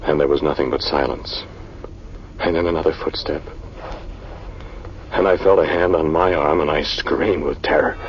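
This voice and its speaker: An actor playing a haunted, brooding scientist in a 1940s radio horror drama, speaking in a dramatic, halting way. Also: male voice, a bass voice, steady tone, noisy background. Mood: hushed, entranced. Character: haunted male scientist recounting a terrifying tomb ordeal. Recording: dull vintage radio recording with music behind.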